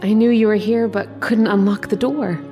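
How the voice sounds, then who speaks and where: A woman plays a young dream sweetheart in an old-time radio horror play. She is adoring and possessive, coaxing in an intimate, mid-pitched voice over soft background music. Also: steady tone, moderate pace, natural voice. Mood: adoring, wistful.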